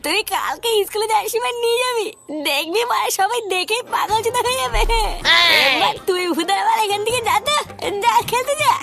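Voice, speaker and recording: A grumpy, drowsy cartoon dad acted by a woman grumbles and curses in a very high-pitched, sing-song voice with a West Bengali accent. He sounds crude and tipsy, over prominent music. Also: animated, brisk, creaky, heavily acted. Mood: dismissive. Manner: grumbling, swearing. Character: crude, sleepy, tipsy father, grumpy and boastful. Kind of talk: comic cartoon dub.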